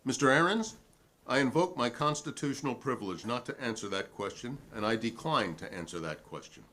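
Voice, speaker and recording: A man's voice: medium pitch, expressive, pushed and bright. A man reading the part of a defiant, principled witness at a 1950s congressional hearing, speaking formally and emphatically in a dramatic reading. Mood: curt, defiant. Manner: emphatic, formal, deliberate repetition.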